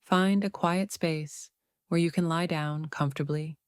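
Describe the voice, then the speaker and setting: A woman narrating a guided meditation in a soothing, unhurried way, leaving long pauses between phrases. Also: sits low, fairly even intonation, clean tone, no echo, clean studio sound.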